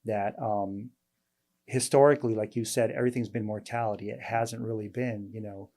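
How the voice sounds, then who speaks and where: A man with a fairly low, mellow voice hosts a casual interview as a doctor. He talks in a halting way, full of fillers and restarts, but sounds friendly and easy. His tone stays dark and relaxed. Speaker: host, weight loss doctor.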